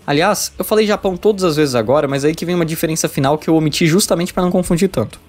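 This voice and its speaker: Fast-talking Brazilian man narrating. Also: a little high, faint music behind. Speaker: video essay narrator. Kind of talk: scripted narration of a video essay.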